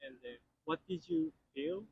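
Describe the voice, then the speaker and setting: Male interviewer, husky and gravelly, lively pitch, halting delivery. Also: muffled recording. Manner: halting, searching for words. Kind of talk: street interview questions.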